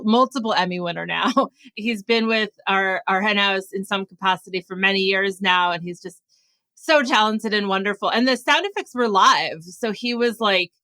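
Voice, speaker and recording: A woman podcast host with a bright, forceful voice at a middle pitch. She introduces the show conversationally, recorded very dry. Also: no room sound.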